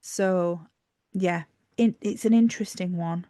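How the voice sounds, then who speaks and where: A woman's voice: lowish voice, unhurried, warm tone, no echo, clean studio sound. A woman with a Yorkshire accent, co-hosting a casual podcast chat and explaining her thoughts with fillers and restarts. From Yorkshire, England. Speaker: podcast co-host.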